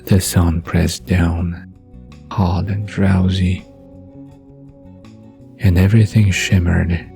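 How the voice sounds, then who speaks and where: A man narrating a scripted bedtime story in a soft, dreamy manner. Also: low-pitched, little pitch movement, slow, vocal fry, faint music behind.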